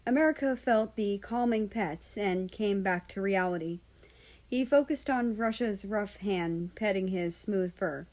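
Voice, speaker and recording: Woman narrating a story, mid-pitched voice. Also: unhurried, darkish tone. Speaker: fan fiction reader.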